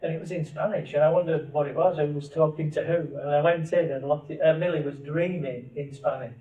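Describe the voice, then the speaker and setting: A man's voice: fairly high pitch, steady tone, a little fast. A man co-hosting a family podcast, asking long questions that restart as he goes. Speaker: podcast co-host, father. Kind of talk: casual family podcast chat. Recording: dull, echoey, distant mic.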